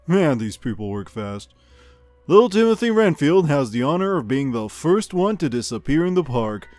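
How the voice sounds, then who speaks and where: A man playing a chipper, rambling amusement park news host, delivering absurd comedic news in an offhand deadpan. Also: fairly high pitch, dry recording, pitched above the performer's own voice. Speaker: podcast host. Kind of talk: scripted comedic news monologue.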